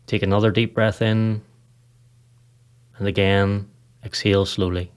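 A man leading a scripted guided meditation in a calm, measured manner, leaving pauses between short phrases. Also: on the low side. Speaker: podcast host, meditation guide.

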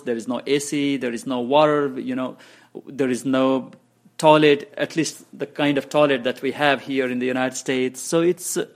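A man from Bhutan whose first language is Nepali, giving measured interview answers about his refugee resettlement work. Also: mid-range voice. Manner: measured, explanatory, counts points off in order.

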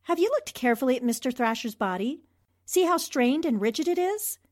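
Woman playing a high-voiced, self-assured reporter. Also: animated, a little fast. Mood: confident, explaining. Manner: composed, observant, explanatory. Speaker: reporter investigating a murder. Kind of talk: 1940s radio drama acting.

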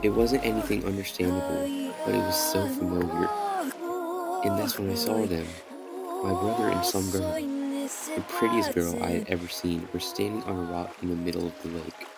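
A man's voice: low-pitched, expressive, moderate pace, vocal fry. A young man reading his own horror story as a podcast host, in dramatic first-person narration. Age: young adult. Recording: music behind the voice.

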